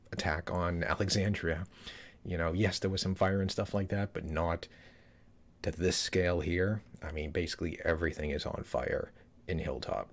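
A man in his thirties giving casual, rambling commentary on a trailer from a small room, with lots of fillers and restarts. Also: low-pitched. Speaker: YouTube trailer reviewer.

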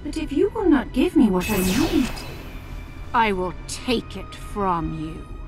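An actress voicing a seductive, playful and haughty succubus in a game, with a sultry, teasing, drawn-out delivery. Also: female voice, mid-range voice, big pitch swings. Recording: music behind the voice.

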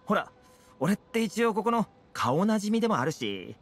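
A man voicing an easygoing, confident young fixer in a Japanese video game, talking smoothly and persuasively. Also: high voice, sing-song, normal speed, faint music behind, heavily acted. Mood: easygoing, friendly. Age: young adult.

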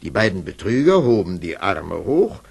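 A man narrating a scripted fairy tale as an expressive storyteller. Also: deep voice, moderate pace, vocal fry, breathy edge.

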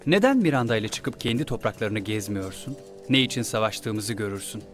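A man's voice: on the low side, expressive, a little fast, close to an everyday voice. A man as a cold, commanding villain prince. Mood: manipulative. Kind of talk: animated series dub acting. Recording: music behind the voice.

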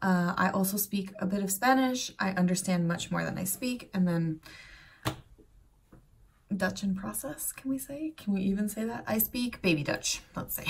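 A woman's voice: medium pitch, unhurried, creaky, slight background noise. Chatty woman vlogging from home. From Serbia, raised in Canada and the United States. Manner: chatty and rambling, many fillers and asides, gestures a lot. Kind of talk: casual chat to camera. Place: bright home room with shelves, indoors.

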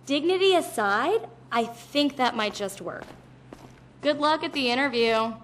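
Store clerk lady, highish voice, cheery but blunt, bit of noise behind. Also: lively intonation, measured pace, a bit bright. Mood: cheerfully blunt. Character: blunt, cheery female clothing shop clerk. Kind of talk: video game dialogue.

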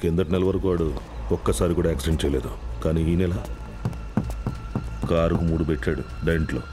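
Casting: actor as shrewd male boss, middle-aged, very low pitch, condescending, film drama under loud music. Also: steady tone, a little fast, natural voice. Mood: smug, cold. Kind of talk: film dialogue.

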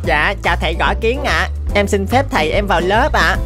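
A woman's voice: mid-range voice, lively intonation, a little fast, natural voice. Woman as a whiny little weasel pupil. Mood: polite. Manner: whiny, grumbling. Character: lazy, carefree young fox-like weasel pupil. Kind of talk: dubbed children's cartoon. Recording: music behind the voice.

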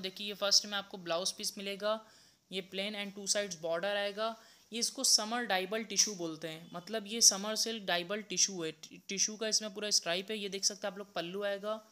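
Young guy doing a saree sales pitch, bright crisp voice, pretty flat delivery. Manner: repetitive, steady walkthrough of each item. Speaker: saree seller. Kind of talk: product showcase sales pitch. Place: indoor saree shop.

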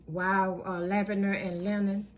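A woman's voice: mid-range voice, little pitch movement. Woman casually listing groceries. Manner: plain, unhurried listing with many fillers. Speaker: channel host. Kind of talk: casual grocery haul narration.

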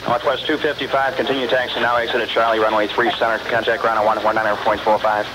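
A man's voice: mid-range voice, level tone, fast talker. A man working as an air traffic ground controller, giving clipped, procedural taxi instructions over aviation radio.

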